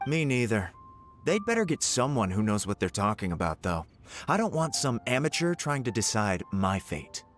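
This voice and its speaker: An arrogant candidate who talks down to everyone in a smug, patronizing way. His voice is low and moves through a lively range. Faint game music sits underneath. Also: moderate pace. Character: arrogant condescending male job candidate, adult.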